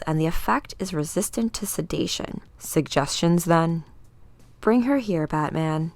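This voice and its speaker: A woman voicing a brisk, worried female intelligence chief from a comic book, wry and businesslike. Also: lowish voice, gravelly, dry recording.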